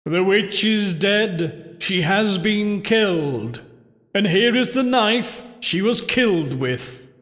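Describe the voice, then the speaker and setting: Guy doing a creepy husband from a horror story, slow hushed chanting, big echoey reverb. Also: sits high, animated, pitched above the performer's own voice, exaggerated voice. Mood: ominous intoning. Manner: slow, hushed, ominous intoning. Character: husband solemnly intoning a macabre dead-witch game. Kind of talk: dramatic horror story reading. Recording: long cavernous reverb.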